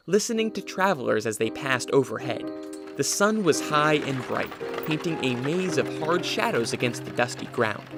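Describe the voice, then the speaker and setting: A man acting in a radio drama as a solemn storyteller and an uneasy vampire, speaking in a measured way. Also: mid-range voice, close to an everyday voice. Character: Dracula, uneasy, irritable male vampire, also narrator. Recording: music bed behind the voice.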